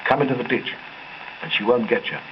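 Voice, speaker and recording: A man voicing a rough Cockney crook in a thriller story, hoarse, urgent and complaining. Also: steady tone, a little fast, natural voice. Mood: urgent warning. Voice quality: hoarse, low. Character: rough, grumbling, unshaven Cockney crook in danger. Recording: dull, noisy 1920s gramophone record.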